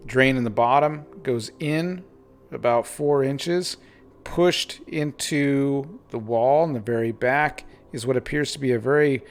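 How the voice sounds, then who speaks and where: A man narrating a tabletop game as game master, improvising in halting phrases with pauses mid-sentence. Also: mid-range voice.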